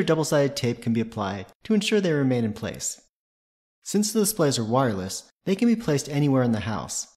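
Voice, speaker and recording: A man's voice: medium pitch, darkish tone. A man presenting a tutorial, reading scripted technical narration in a methodical, matter-of-fact way.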